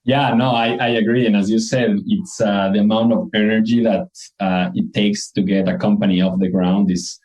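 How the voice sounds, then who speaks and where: A man's voice: on the low side, fairly even intonation, normal speed, few pauses, darkish tone, poor audio. A man from Ecuador with an Ecuadorian accent, a company co-founder answering interview questions in an earnest, reflective way. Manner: earnest, reflective, frequent restarts.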